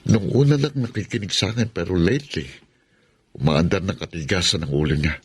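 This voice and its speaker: An actor playing a suspicious, possessive live-in boyfriend, complaining and exasperated in Filipino radio drama style. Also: male voice, deep voice, fairly quick, slightly rough. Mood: grumbling, weary.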